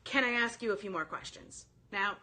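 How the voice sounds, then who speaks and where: A woman giving informal sales coaching on a live stream, talking directly to her viewers in an animated, chatty way. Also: mid-range voice, normal speed. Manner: animated, informal, addresses listeners directly, quotes sample phrases. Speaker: sales coach hosting a live online training.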